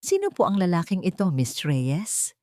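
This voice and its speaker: A woman's voice: fairly low pitch, wildly swooping pitch, normal speed, darkish tone, no echo. Accusing opposing attorney played by a woman, formal, insinuating and doubtful, courtroom audio drama, dry close sound.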